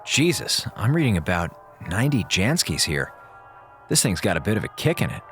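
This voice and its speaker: Sardonic, animated American space officer. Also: male voice, low-pitched, moderate pace, over music. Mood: impressed. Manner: sardonic, slangy. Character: wisecracking male communications officer on a deep-space station. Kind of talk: audio drama acting.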